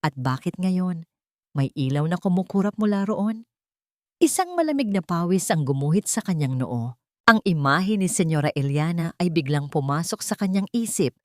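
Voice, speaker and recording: A woman with a Filipino accent narrates a dramatic audio story. Her voice sits low for a woman, and the sound is dry, with very little room on it. The delivery is that of scripted suspense fiction. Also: expressive, normal speed, no echo.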